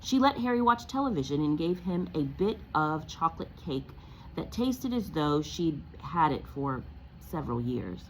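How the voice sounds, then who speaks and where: A woman teacher reads aloud to young pupils with a lot of melodic movement in her low-set voice. She is expressive and explanatory, as if guiding a class through a story. There is faint noise in the background. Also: moderate pace, low-quality recording. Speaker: teacher reading aloud to students. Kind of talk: read-aloud of a children's novel with teaching commentary.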